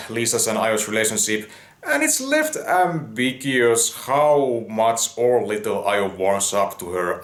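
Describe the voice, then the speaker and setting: Guy chatting through a review, musing and a bit halting, animated, roomy echoey sound. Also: mid-range voice. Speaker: reviewer, commentator. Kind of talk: conversational review commentary. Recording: roomy, echoing voice recording.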